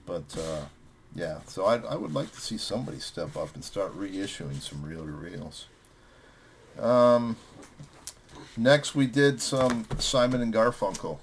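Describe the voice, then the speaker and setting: Deep voiced guy hosting a music vlog, chatting casually about records, some background noise. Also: expressive, a little slow, creaky. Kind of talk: casual chat reviewing records with friends.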